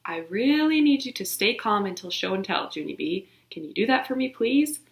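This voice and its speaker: Woman reading as a calm male teacher, patient, wry, slightly gravelly, roomy echo. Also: medium pitch, normal speed. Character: patient, dry-humored male schoolteacher.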